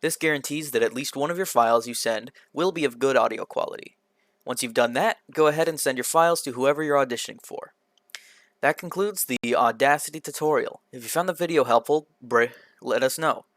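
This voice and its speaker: A man's voice: medium pitch, a little fast, fairly bright tone, dry recording. Man narrating a tutorial, dry close sound. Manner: instructional, step-by-step, occasionally stumbles over words. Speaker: tutorial presenter. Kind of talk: scripted software tutorial narration. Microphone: computer microphone in a quiet home room, voice-over.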